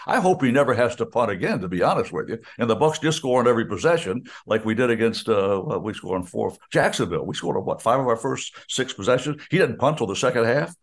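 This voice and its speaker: An older American man, a radio play-by-play announcer, chatting about football in a rambling way, full of restarts and asides. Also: medium pitch. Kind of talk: casual sports radio chat.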